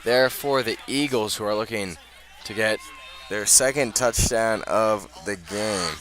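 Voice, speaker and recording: A man's voice: on the low side, unhurried, a bit bright. An American man doing live radio play-by-play of a football game in short, clipped phrases. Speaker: play-by-play commentator.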